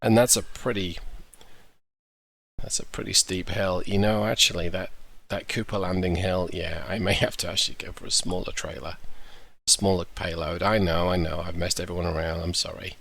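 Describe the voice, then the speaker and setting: Croaky low-voiced man narrating gameplay. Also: level tone, normal speed, fairly bright tone. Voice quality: croaky, gravelly. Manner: chatty, thinks aloud, self-correcting. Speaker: gaming channel host. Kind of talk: casual gameplay commentary.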